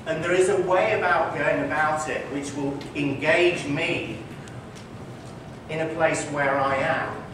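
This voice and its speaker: A man's voice: a little high, steady tone, measured pace. A man, a physician, answering interview questions on a conference stage, speaking in an articulate, emphatic way and repeating his key points. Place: conference hall before an audience. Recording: echoey hall, distant mic, noisy.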